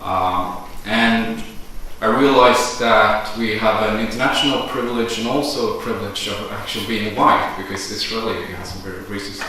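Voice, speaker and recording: Bright-voiced man in an echoey hall. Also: lowish voice, moderate pace, hardly any pauses. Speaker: panelist, activist. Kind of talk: panel debate statement. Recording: echoey hall, distant mic, faint noise.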